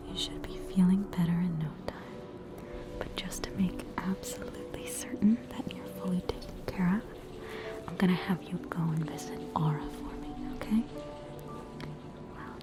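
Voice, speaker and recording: A woman's voice: lowish voice, fairly even intonation, over loud music, lightly acted. An Australian woman voicing a soothing, faintly haughty witch doctor in a whispered ASMR roleplay. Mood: soothing, reassuring. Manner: slow, soothing, reassuring bedside manner.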